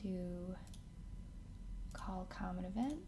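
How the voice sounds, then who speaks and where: A woman hosting a casual software tutorial, thinking aloud as she works through each step. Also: on the low side, slow pace. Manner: thinks aloud while working. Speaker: tutorial host. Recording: clear background noise, keyboard and mouse clicks.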